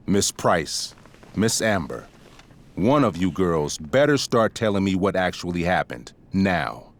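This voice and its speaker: A strict pompous principal in a game, deep voice, very formal and measured. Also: male voice, big pitch swings, heavily acted. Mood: stern, exasperated. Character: stern, pompous male school principal, middle-aged. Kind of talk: dramatic video game dialogue.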